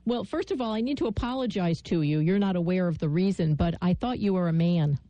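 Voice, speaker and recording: A woman hosting a radio interview, measured and polite as she introduces and questions her guest. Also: on the low side, lively intonation, dark tone, dull audio. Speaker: radio show host.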